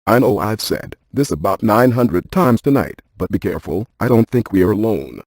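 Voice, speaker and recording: An actor playing a brainy, dryly cautious ghost hunter scientist in a video game, speaking in a deadpan, precise, technical way. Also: male voice, on the low side, normal speed, slightly rough, no echo, studio quality. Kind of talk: scripted video game character dialogue.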